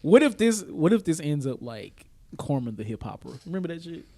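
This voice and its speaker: An American man with a slightly high voice and a lively, swooping delivery. He chats casually as a podcast co-host, using loose slang and chuckling easily. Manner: informal and slangy, quick to chuckle. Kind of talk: casual banter.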